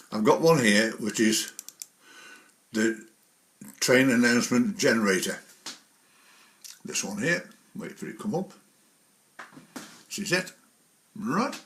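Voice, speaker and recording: A man presents a casual software walkthrough in a medium-pitched voice. He talks in an informal, rambling way, working through each step as he explains it. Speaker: presenter of a model railway software tutorial. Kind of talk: casual spoken screen tutorial.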